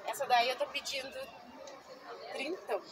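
A woman's voice: high-pitched, steady tone, a little slow. A woman selling at an outdoor flea market stall, chatting with customers and explaining her wares. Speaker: stall vendor. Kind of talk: casual chat with customers. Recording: crowd noise behind.

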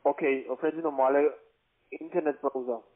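A man with a foreign accent, not a native German speaker, giving pushy phone instructions as a call-centre agent in halting, broken phrasing. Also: a little high, steady tone. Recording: muffled lo-fi phone line.

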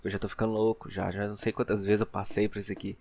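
A man's voice: lowish voice, little pitch movement, very fast, vocal fry, darkish tone, slight background noise. A young man with a Brazilian Portuguese accent, giving casual let's play commentary on a headset mic at home. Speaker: gaming YouTuber.

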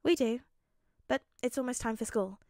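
A woman voicing a kind, earnest teenage boy with a soft, lightly teasing way of talking, in a story reading. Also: a little high, moderate pace, no room sound, studio quality, voice pushed up, lightly acted. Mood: fond, teasing. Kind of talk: acted audiobook-style fan fiction reading.

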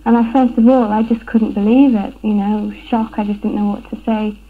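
A woman's voice: medium pitch, little pitch movement, normal speed. Hesitant young woman, tinny old film sound. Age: young adult. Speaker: interviewee, burglary victim. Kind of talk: personal account in a documentary interview. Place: room at home, indoors.

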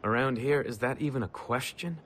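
A man's voice: mid-range voice, brisk, fairly bright tone, close to an everyday voice. An actor playing a self-assured young male adventurer in a video game, speaking in a terse, casual, wry way. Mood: cocky. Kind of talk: video game quest dialogue.

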